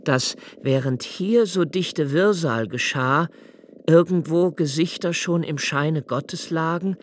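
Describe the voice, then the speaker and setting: An actor playing a brooding, solitary diarist in a radio play, with a measured, introspective delivery and an anguished, searching temper. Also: male voice, a little high, slight background noise. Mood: anguished questioning. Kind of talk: literary monologue in a radio play.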